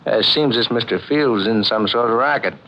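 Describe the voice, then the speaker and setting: An actor playing a polite, hesitant police detective in a 1940s radio drama, folksy and quietly probing. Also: male voice, mid-range voice, close to an everyday voice. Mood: politely probing. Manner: hesitant, folksy, unhurried questioning. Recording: muffled old radio recording, faint noise.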